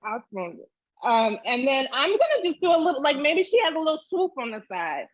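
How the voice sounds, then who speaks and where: A woman's voice: sits high, big pitch swings, fairly quick, a bit bright, no room sound, muffled recording. A woman giving a casual, chatty step-by-step drawing tutorial, thinking aloud as she goes. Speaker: illustrator demonstrating drawing.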